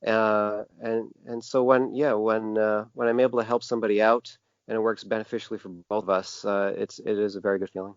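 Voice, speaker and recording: A man answering interview questions as a designer, in a conversational but halting way, often restarting his phrases. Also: fairly low pitch, little pitch movement, no room sound. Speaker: podcast guest, book designer. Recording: dull, band-limited lo-fi sound.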